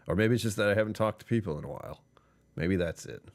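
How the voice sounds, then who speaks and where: Tired male streamer, very low voice, chuckling, rambling casual chat. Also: a little fast. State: tired, voice lower than usual. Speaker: livestream host.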